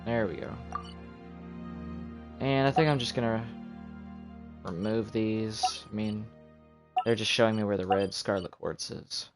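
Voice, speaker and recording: A man's voice: on the low side, measured pace, pauses often. A man giving casual live commentary on a video game, thinking aloud as he plays. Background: video game music.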